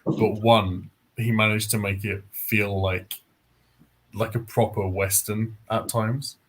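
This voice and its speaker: A man's voice: lowish voice, flat delivery, slow, a little hiss or hum, low-quality recording. A man talking casually as a co-host in a book discussion. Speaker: read-along co-host. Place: online live video chat.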